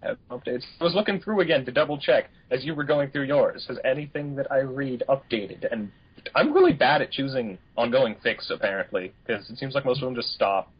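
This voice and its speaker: Husky man chatting, muffled lo-fi sound. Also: medium pitch, big pitch swings, fairly quick. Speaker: podcast co-host. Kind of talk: casual panel chat. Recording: dull, lo-fi audio.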